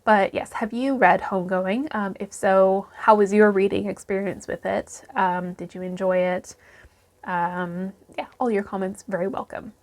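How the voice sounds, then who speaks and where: A woman talks through her recent reading in a relaxed, chatty way, her voice a little croaky because she is still under the weather. She fills pauses with ums and restarts her sentences as she thinks. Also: medium pitch. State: croaky voice from illness. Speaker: book vlogger. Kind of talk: casual book review chat.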